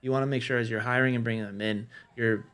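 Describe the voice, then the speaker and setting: A man's voice: mid-range voice, steady tone, fast talker, fairly bright tone. A man talking casually as a podcast panelist, laying out practical management advice point by point in a conversational, explanatory way.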